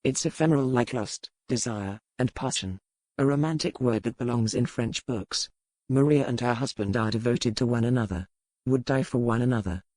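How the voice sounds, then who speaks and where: An actor playing a stern, world-weary pirate captain in an audiobook, speaking in a measured, formal way. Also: male voice, mid-range voice, darkish tone, dry recording, lightly acted, low-quality recording. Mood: cynical, reflective. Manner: measured, formal, matter-of-fact. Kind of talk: audiobook character dialogue.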